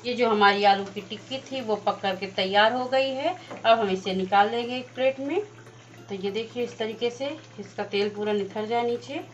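A woman's voice: a little high, moderate pace, a little hiss or hum. Woman cooking host, slightly muffled sound. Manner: plain, instructive, walks through each step. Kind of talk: step-by-step cooking demonstration. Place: home kitchen at the stove. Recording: slightly dull lo-fi sound with faint music.

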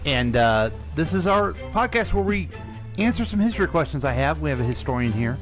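A man's voice: a little high, big pitch swings, fairly quick. Middle aged guy hosting a podcast, casual chat, voice moves up and down a lot, bit of music. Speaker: podcast host asking questions. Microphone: close studio microphone.

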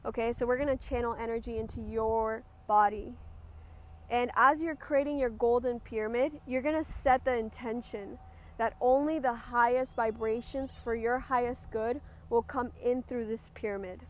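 A woman leading a group guided meditation, giving gentle, instructive cues. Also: a little high, fairly even intonation, normal speed. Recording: clear background noise, ambient sound.

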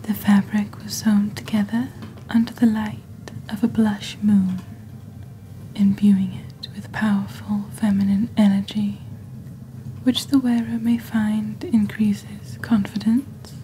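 A young woman playing a genteel witch shopping-channel host in soft-spoken ASMR, with a breathy near-whisper and a deliberate, gently formal delivery. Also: medium pitch, slight background noise, lightly acted, low-quality recording. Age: young adult. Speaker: ASMR performer.

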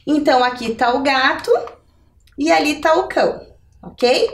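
A woman's voice: high-pitched, unhurried, distant mic. A middle-aged woman teaching a televised maths lesson step by step, often checking in with questions. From Brazil. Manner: didactic, checking in with rhetorical questions. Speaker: adult education maths teacher. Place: indoor TV lesson studio. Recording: roomy echo, somewhat off the mic.

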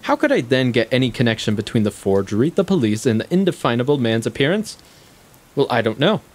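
An actor playing a shrewd, needling intellectual in a stage play, speaking deliberately in formal, articulate, condescending sentences. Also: male voice, mid-range voice, very animated, lightly acted. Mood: musing, storytelling. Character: shrewd, probing male intellectual, coolly needling his guest. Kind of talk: stage play acting, long monologues.

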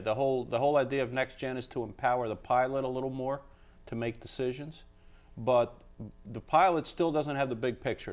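A man in his fifties answering interview questions as a college dean, explaining in a halting, measured way in a studio. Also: medium pitch. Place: indoor TV studio. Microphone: lapel.

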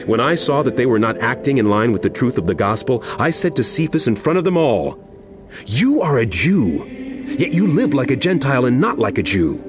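An actor playing an impassioned male apostle in a dramatized scripture reading, speaking emphatically and deliberately to a wayward flock. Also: medium pitch, sing-song, close to an everyday voice. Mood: earnest, firm. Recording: music under the voice. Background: background music.

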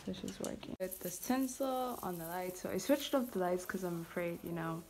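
A woman's voice: fairly low pitch, normal speed, a loud crowd, low-quality recording. A young woman vlogger chatting to her viewers in an upbeat, casual way while decorating her living room, filmed on a phone held at arm's length. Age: young adult. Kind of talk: casual vlog narration. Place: living room at home, indoors. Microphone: phone camera held at arm's length.

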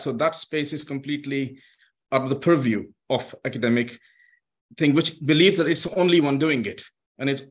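A man with a foreign accent making a halting academic comment in a discussion, with many fillers and restarts. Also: medium pitch. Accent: foreign-accented English.

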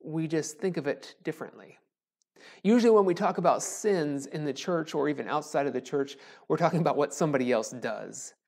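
A man in his forties preaches a recorded sermon from a small church sanctuary. He talks in a relaxed, conversational way, full of jokes and self-deprecating asides. His voice is picked up close on a lapel mic. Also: on the high side, a little fast, creaky. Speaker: pastor. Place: small church sanctuary with a wooden cross behind. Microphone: lapel mic, camera framed from the chest up.

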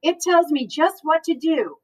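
A cheerful but easily baffled storybook lady teacher , voiced by a woman reader, who takes everything literally. Her voice is high and lively, and she stretches her words out slowly with emphatic exclamation. It is picked up from a distance. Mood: exclaiming, eager. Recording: distant microphone in a room.

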